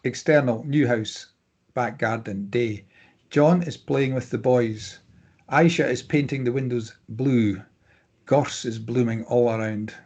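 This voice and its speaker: Guy narrating script directions, kinda raspy, medium pitch. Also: a little slow, a little mellow. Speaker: reader of stage directions.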